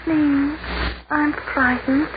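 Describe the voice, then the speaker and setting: Frightened, high-voiced lost soul. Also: female voice, fairly even intonation. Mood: frightened, dazed. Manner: fearful, halting. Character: frightened, dazed dead Englishwoman, halting and lost. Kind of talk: 1940s radio acting. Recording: muffled, very noisy 1940s radio recording.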